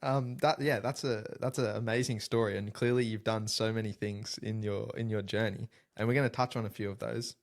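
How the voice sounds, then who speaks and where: A man hosting a podcast, posing questions in a measured, scene-setting way. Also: lowish voice, steady tone. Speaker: podcast host. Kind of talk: interview questions.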